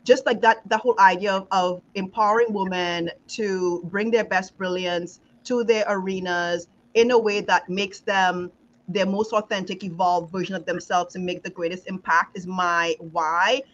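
A woman's voice: fairly low pitch, fairly even intonation, moderate pace, a little hiss or hum. Trinidadian woman on a dull video call. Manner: reflective, searching for words with restarts. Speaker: leadership coach, guest. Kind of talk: casual podcast conversation. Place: home office with pictures on wall.

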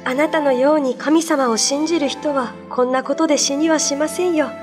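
A woman playing a gentle young female tree spirit in a radio drama, with polite, soft courtesy. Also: high voice, steady tone, normal speed, music in the background, natural voice. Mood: kind, serene.

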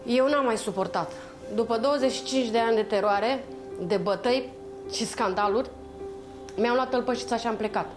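A woman's voice: a little high, music in the background, close to an everyday voice. An actress playing a blunt middle-aged working mother who scolds and questions her daughter. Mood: scolding.

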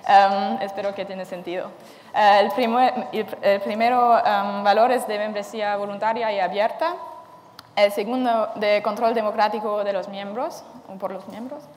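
A young woman speaks to an audience in a large hall, often stopping to search for words in a language that is not her first. Her voice sits at an ordinary mid pitch. The hall rings with long reverb, with faint noise behind her. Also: fairly even intonation, normal speed, a little mellow. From lives in Brussels. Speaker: speaker for a cooperative federation. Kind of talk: conference talk.

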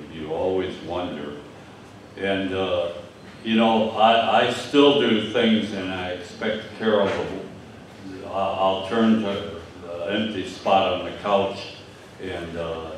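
An older man with a Michigan accent preaching a sermon at a lectern, speaking haltingly with many fillers and repeated words. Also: deep voice, steady tone, slight background noise. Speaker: pastor. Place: church hall with stage and screen. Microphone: lectern mic from a distance. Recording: echoey hall, distant mic.

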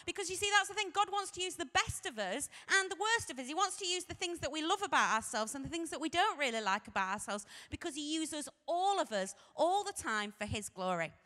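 A woman in her forties with a Sheffield accent tells her story in a chatty, self-mocking way. Her voice sits a little high and comes across bright and forceful. She holds a microphone on a stage, and the large hall adds a long, echoing tail to her words. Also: lively intonation, a little fast, few pauses. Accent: Sheffield English. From Sheffield, England. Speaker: vicar. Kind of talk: humorous personal testimony to a youth audience. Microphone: handheld microphone. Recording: echoey hall.

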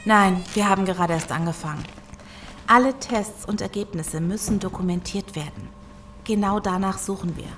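A woman in a German audio drama playing a calm, analytical medical expert, explaining in a matter-of-fact way. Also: mid-range voice, normal speed, a little hiss or hum, natural voice. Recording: long hall reverb.

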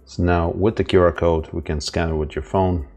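A man's voice: sits low, steady tone, normal speed, a little mellow, soft background music. A man from Ireland casually walking through an intercom setup step by step, with a few fillers. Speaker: installer demonstrating setup. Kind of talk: casual tutorial narration.

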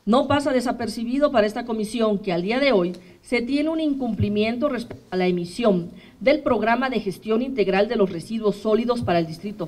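A woman's voice: medium pitch, a little mellow. Mexican woman reading a formal statement. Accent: Mexican Spanish. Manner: measured, reading from notes. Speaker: human rights commission official. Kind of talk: formal statement read aloud. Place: indoor press room, at a podium. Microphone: podium microphone.

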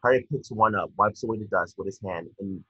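A man narrating scripted stage directions at a table read, measured with small stumbles, over a video call. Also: on the low side, fairly bright tone, husky, no room sound. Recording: dull lo-fi video call audio.

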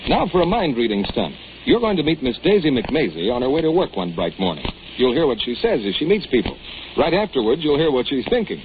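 A man's voice: mid-range voice, sing-song, fairly quick. A man announcing in a scripted 1940s style for sponsored radio. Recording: tinny, lightly noisy 1940s radio recording.

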